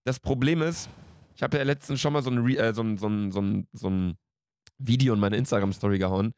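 A man's voice: fairly low pitch, a little fast, darkish tone, no echo, studio quality. A man in his late twenties co-hosting a podcast in casual, slangy, jokey banter. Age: late 20s. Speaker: podcast co-host.

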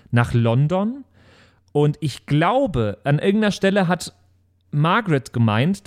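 A man's voice: a little high, a little mellow. A man chatting casually and reflectively as he recaps a role-play game with friends, in a rambling way. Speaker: podcast player recapping his tabletop role-play character.

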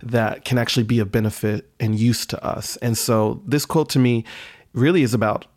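A man talks as a podcast guest at an average male pitch. He is thoughtful and hopeful, rephrasing as he works through his ideas conversationally. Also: normal speed, darkish tone. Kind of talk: casual reflective conversation.

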